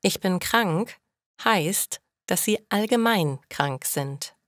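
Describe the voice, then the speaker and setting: A woman narrating a beginner language lesson, speaking slowly and clearly for learners. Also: lowish voice, animated, no room sound, clean studio sound. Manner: slow and clearly articulated for learners. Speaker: language teacher. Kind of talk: slow scripted lesson narration.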